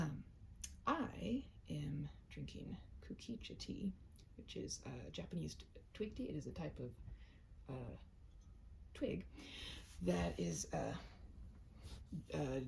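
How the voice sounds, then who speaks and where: A woman's voice: fairly low pitch, vocal fry, mellow, a little hiss or hum, rough recording. A woman speaking as a storyteller in a cozy, intimate one-to-one style, chatty and a little self-conscious. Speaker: storyteller, host reading own short stories. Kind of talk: cozy roleplay chat to listener, then story read-aloud.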